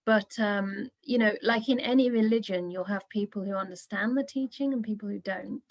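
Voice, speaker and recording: A Buddhist nun leads a casual discussion over a video call. Her voice carries some creaky gravel, and the sound is dry with no room around it. She talks softly and easily. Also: medium pitch, moderate pace, no echo. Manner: soft-spoken, relaxed, with gentle laughs. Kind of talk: casual online Q&A. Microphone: video call microphone.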